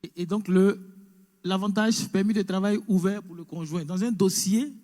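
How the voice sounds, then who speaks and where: A man's voice: high voice, moderate pace, warm tone. A man giving an information session, explaining step by step and mixing English words into French. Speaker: immigration presenter. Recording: echoey hall.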